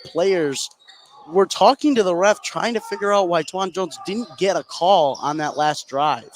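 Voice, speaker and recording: Higher-voiced man giving basketball color commentary. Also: a little slow, slight background noise. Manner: conversational, explanatory. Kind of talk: live basketball analysis.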